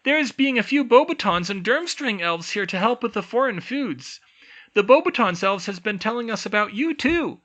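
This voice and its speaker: A reader voicing Tilly, an older female house-elf teacher who squeaks in broken grammar. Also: expressive, moderate pace, fairly bright tone, muffled recording, natural voice. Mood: earnest.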